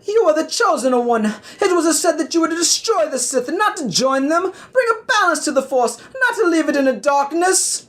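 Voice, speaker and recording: A man voicing a high-pitched, heroic, anguished Mario-style Jedi master in a parody audio play. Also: very animated, moderate pace, few pauses, a bit bright, heavily acted.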